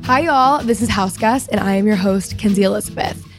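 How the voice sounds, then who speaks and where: A woman's voice: mid-range voice, brisk, soft background music. A woman in her twenties hosting a podcast in a casual, chatty, gushing and enthusiastic way. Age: 20s. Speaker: podcast host. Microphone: close podcast microphone.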